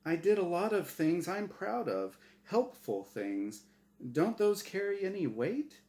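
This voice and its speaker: A man's voice: high voice, very animated, measured pace, darkish tone, voice pushed up, full character voice. A man voicing an anxious, bewildered dead man in a story reading, hesitant and questioning. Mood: nervous, puzzled.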